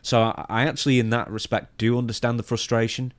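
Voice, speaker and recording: A man's voice: mid-range voice, flat delivery, fast talker, no echo. A man hosting a podcast, reading out news items and chatting about them in a wry, conversational way. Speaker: podcast host.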